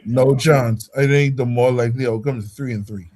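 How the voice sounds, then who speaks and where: A man's voice: mid-range voice, steady tone, normal speed, a little mellow. A man joining a sports podcast as a fan guest, confident and brushing off the host's doubts. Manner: confident, dismissive. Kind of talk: casual sports debate. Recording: crowd noise behind.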